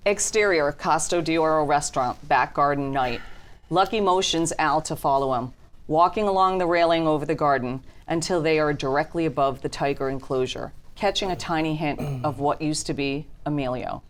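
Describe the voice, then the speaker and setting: A woman narrates a television script at a table read. Her voice is deep for a woman, and she reads out scene headings and action as scripted narration. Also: moderate pace. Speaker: narrator reading stage directions.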